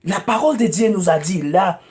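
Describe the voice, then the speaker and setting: High-voiced man preaching with emphatic repetition. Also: moderate pace, darkish tone. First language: English. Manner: emphatic, repeats phrases, many hesitant "euh"s. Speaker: guest preacher. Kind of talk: preaching testimony on a talk show.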